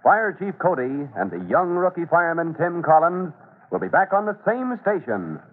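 A man announces a vintage radio serial with theatrical flair. His delivery is deliberate and highly animated, with a husky edge. The recording is thin and phone-like, as on an old broadcast. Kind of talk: dramatic 1940s-style radio narration. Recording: tinny, band-limited old radio recording.

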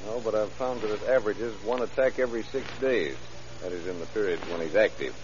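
Man playing brisk old radio police lieutenant, low voice, fast clipped talk, businesslike, faint hiss. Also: lightly acted. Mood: determined. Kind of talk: old-time radio drama dialogue. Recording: muffled, hissy old radio recording.

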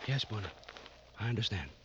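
An actor playing a steady, obedient safari gun bearer in a mid-century radio drama, speaking in terse, restrained replies. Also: male voice, on the low side, fairly quick. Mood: restrained, grave. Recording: dull, very noisy old radio recording.

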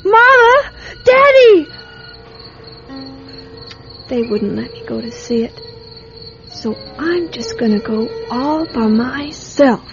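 High-voiced, curious little girl. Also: very animated, takes it slowly, pauses often, loud music behind the voice, exaggerated voice. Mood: excited shouting, then wondering. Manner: wide-eyed, earnest, childlike chatter. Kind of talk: radio drama acting. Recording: dull, noisy radio drama recording.

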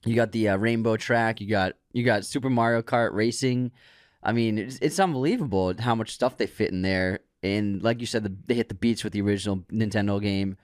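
A man's voice: lowish voice, brisk, no echo, clean studio sound. A man co-hosting a podcast, chatting eagerly about movie trailers and adding facts. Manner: enthusiastic, adds facts and reactions. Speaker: podcast co-host. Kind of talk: casual movie chat.

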